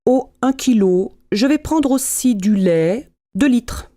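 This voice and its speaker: A woman acting out everyday scripted dialogues for language learners, speaking clearly and deliberately. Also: on the high side, expressive, warm tone, no room sound.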